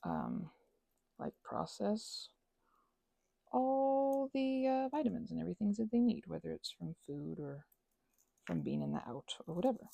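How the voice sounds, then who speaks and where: A woman's voice: mid-range voice, very animated, measured pace, gravelly, pauses often, mellow, no room sound. A woman chatting casually while she does crafts, whimsically interrupting herself and talking to herself and to objects. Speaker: craft and ASMR video creator.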